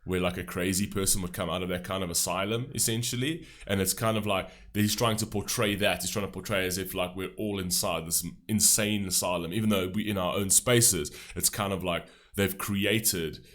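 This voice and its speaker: Stuffy-nosed man with a deep, bright voice. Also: fairly even intonation, moderate pace, hardly any pauses. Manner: loose, rambling, with many fillers and restarts. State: stuffy nose from a cold. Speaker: music reaction host. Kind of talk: casual reaction commentary.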